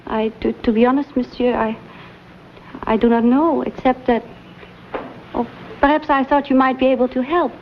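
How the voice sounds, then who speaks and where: An actress playing a flustered, trusting young French teacher with a French accent in a period TV drama, speaking breathlessly and pleading. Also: female voice, sits high, steady tone, normal speed, long pauses, dull audio, slight background noise. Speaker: French teacher at a girls' academy.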